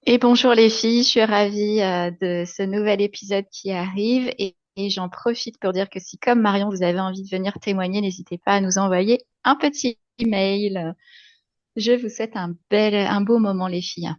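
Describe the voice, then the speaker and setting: A woman's voice: medium pitch, moderate pace, darkish tone, no room sound, lo-fi sound. A woman co-host giving a short, cheerful greeting. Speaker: podcast co-host.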